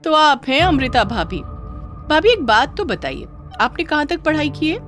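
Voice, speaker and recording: A woman voicing a spiteful, haughty young sister-in-law in a moral story, sneering, sharp-tongued and condescending. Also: high voice, sing-song, normal speed, soft background music, pitched above the performer's own voice. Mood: mocking. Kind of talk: acted dialogue in a moral story.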